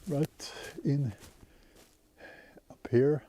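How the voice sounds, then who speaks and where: A man's voice: medium pitch, steady tone, drawn-out words, mellow, slight background noise. A man with a Norwegian accent talks casually to his camera in a spruce forest. He rambles in an easygoing way, with many fillers and restarts. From Norway. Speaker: bushcraft vlogger. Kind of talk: casual outdoor vlog talk. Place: outdoors in a snowy spruce forest. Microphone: camera on a tripod held as a selfie stick.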